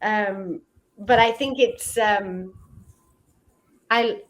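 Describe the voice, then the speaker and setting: A woman's voice: medium pitch, extremely slow. A woman from Israel with a British English accent, chatting casually as an online English tutor over a video call, with lots of likes and ums.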